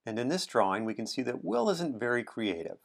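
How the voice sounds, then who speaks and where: Expressive man hosting a children's storytime. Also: mid-range voice, brisk, gravelly. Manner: simple explanations, asks the audience questions. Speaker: children's book author. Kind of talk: children's storytime talk and expressive picture book reading.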